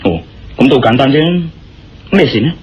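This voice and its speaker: Casting: worldly, polite adventurer lead, radio drama, Hong Kong Cantonese, mid-pitched and animated, slightly muffled recording. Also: male voice, moderate pace, slightly rough. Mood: pleased, eager. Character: worldly adventurer hero, a man, confident and courteous.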